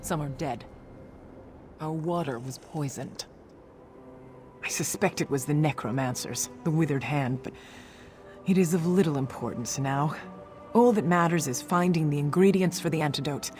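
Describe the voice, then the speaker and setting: A woman's voice: deep voice, moderate pace, pauses often, darkish tone, faint music behind, close to an everyday voice. Woman acting proud, resolute desert chief's daughter. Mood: grim, urgent. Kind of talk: video game character dialogue.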